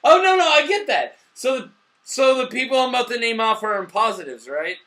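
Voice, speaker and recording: A man's voice: extremely high pitch, animated, a little fast, pushed and bright. A drunk man co-hosting a casual, profane movie review, rambling and easily losing the thread. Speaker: movie review show host.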